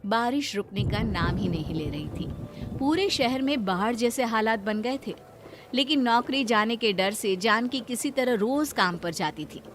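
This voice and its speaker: A woman's voice: a little high, expressive, unhurried, soft background music. A woman narrator reading a scripted moral story.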